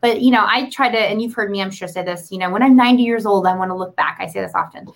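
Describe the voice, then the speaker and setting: A woman hosting a talk show, chatting casually and motivationally in an animated, rambling, earnest way. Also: medium pitch, fast.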